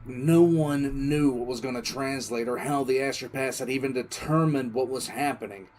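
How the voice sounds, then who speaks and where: A man's voice: mid-range voice, moderate pace, a little mellow, lightly acted. Man voicing a grave commissar over music. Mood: tense, emphatic. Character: war-weary male military commissar recounting a tense crisis. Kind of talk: dramatic first-person audio drama narration. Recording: music under the voice.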